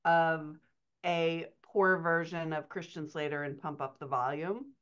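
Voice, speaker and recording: A woman's voice: low voice, steady tone, measured pace, fairly bright tone, clean tone. A woman in her forties co-hosting a podcast over a video call, chatting casually with a teasing, playful manner. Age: 40s. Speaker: podcast co-host, writing coach. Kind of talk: casual unscripted podcast banter. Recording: slightly dull video-call audio.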